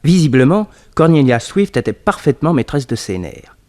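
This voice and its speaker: The storyteller of a detective radio serial. His fairly high voice moves in lively swoops through dramatic scripted narration. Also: moderate pace, a little hiss or hum. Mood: animated, knowing.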